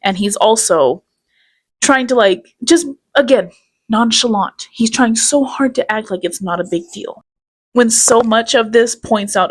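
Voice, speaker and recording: A woman hosting a commentary video, reacting to a streamer's clips with sarcasm and scorn, and swearing. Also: on the high side. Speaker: commentary host.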